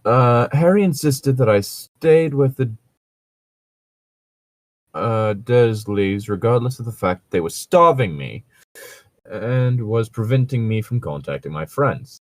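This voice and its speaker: A man's voice: mid-range voice, animated, unhurried, dry recording. A man reading fan fiction aloud with casual, exasperated, sarcastic, sweary comic commentary.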